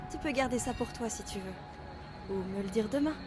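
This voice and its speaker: A woman voicing a gentle, mysterious schoolgirl ghost in a French audio drama, speaking softly and evasively. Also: fairly high pitch, normal speed, background noise, lightly acted.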